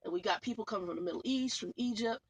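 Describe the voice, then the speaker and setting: A woman's voice: mid-range voice, rapid-fire, husky. A woman truck driver vlogging in a casual, rambling way, repeating herself and calling her viewers y'all. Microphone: phone.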